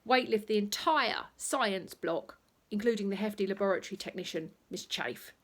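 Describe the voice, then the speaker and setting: A woman reading a children's bedtime story aloud in an expressive, playful way, acting out noises as she goes. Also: medium pitch, normal speed. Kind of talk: animated bedtime story reading with sound effects and asides.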